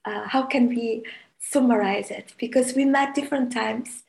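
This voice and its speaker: Italian-native woman late 40s, halting reflective panel talk, gravelly voice, flat delivery. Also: fairly high pitch, poor audio. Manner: halting, reflective, searching for words. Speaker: panel guest, writer. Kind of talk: panel conversation.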